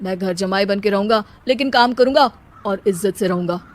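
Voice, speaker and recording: A woman's voice: medium pitch, fairly quick, pitched below the performer's own voice, lightly acted. A woman voicing an idle, cocky young husband who declaims theatrically in rhymed couplets. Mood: contrite. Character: idle, cocky young husband obsessed with silly rhyming poetry.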